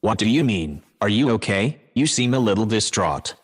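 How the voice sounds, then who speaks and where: Sci-fi house computer voiced as a man: lowish text-to-speech male, measured, courteous, helpful. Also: lively intonation. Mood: calm, concerned. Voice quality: synthetic text-to-speech sound. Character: polite, calm, helpful male AI house assistant. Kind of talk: sci-fi audio drama.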